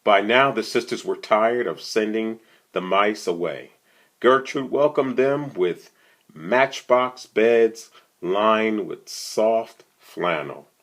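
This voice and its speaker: Storytime reader: male, very low register, takes his time, conversational delivery with asides to the kids. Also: takes it slowly, fairly bright tone. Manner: conversational, often asks the listener questions. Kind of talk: reading a picture book aloud, with casual asides to young listeners.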